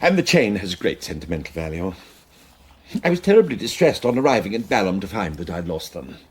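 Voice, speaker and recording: Husky elderly English solicitor, fussily formal. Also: male voice, deep voice, big pitch swings, fairly quick, heavily acted. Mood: polite, fussy. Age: older. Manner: fussy, formal. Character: elderly, old-fashioned male English solicitor, formal and easily affronted. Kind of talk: British radio drama acting.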